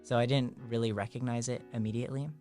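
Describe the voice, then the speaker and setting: A man in his twenties talks about himself as a podcast guest, easygoing and loose. His delivery is very flat and even, with hardly any rise or fall. Faint music plays behind him. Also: medium pitch, quick pace. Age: 20s. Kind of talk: casual interview answers.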